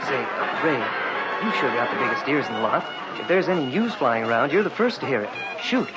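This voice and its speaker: An actor playing an eager, boyish student pal with gee-whiz folksy enthusiasm in a vintage radio drama. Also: male voice, mid-range voice, lively intonation, a little fast, close to an everyday voice. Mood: eager, wondering. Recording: dull vintage radio recording, music behind.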